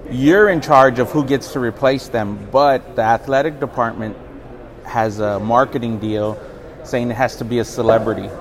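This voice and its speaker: A man from San Antonio who hosts a podcast, reading his questions briskly and affirming answers with quick yeahs. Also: medium pitch, fairly even intonation. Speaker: podcast host.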